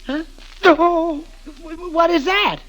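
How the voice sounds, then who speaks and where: An actor playing a hapless, easily flustered husband, hesitant and stammering, in 1930s radio comedy style on a vintage recording. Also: male voice, extremely high pitch, takes it slowly, fairly bright tone, exaggerated voice. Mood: flustered, sheepish.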